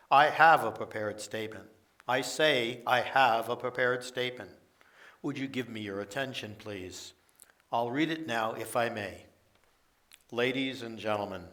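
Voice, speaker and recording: A man playing a formal senior diplomat reading an official statement to the press in a radio play, deliberate in delivery. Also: medium pitch, fairly bright tone, natural voice. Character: formal United Nations Secretary General addressing press. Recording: distant from the microphone.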